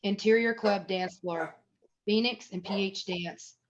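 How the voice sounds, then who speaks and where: A woman's voice: mid-range voice, unhurried, muffled recording. A woman reading stage directions as the narrator of a scripted screenplay table read.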